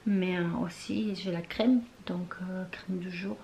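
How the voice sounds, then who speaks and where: A young woman talks casually in her bedroom, rambling through loose, trailing sentences full of fillers. She often drifts into a soft near-whisper. Faint room noise sits underneath. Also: fairly low pitch, steady tone, measured pace. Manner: chatty and rambling, many fillers and trailing phrases. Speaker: beauty vlogger. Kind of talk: casual chat to camera.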